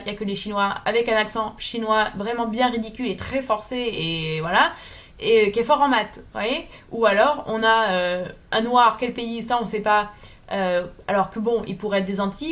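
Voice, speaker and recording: A woman's voice: a little high, a bit bright. A woman with a slight southern French accent giving an informal, opinionated talk to viewers, emphatic and insistent, sometimes searching for her words. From southern France. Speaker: video blogger.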